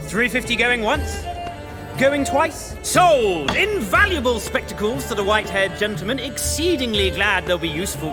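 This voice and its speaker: Showy game auctioneer, lilting and lively, music bed. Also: male voice, very high-pitched. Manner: flowery, showy salesman patter. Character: fussy, theatrical male auctioneer. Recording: music behind.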